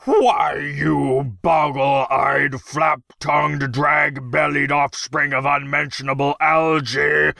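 A man's voice: on the high side, steady tone, creaky, bright, forceful tone, no echo, studio quality, pitched above the performer's own voice, exaggerated voice. A man voicing an ugly, pompous gnome emperor who rants furiously and draws out his insults in a storybook reading. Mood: screaming fury. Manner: bellowing, ranting, drawn-out insults.

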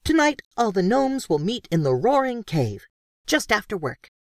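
A woman's voice: medium pitch, very animated, normal speed, no echo, studio quality. A woman doing an eager gnome miner. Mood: urging.

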